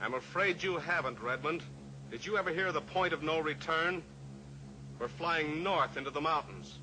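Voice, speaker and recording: An actor playing a calm, steely cowboy pilot hero who coolly taunts his captor, in a 1950s radio drama. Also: on the high side, normal speed, close to an everyday voice. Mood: coolly taunting. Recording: old radio recording with hiss.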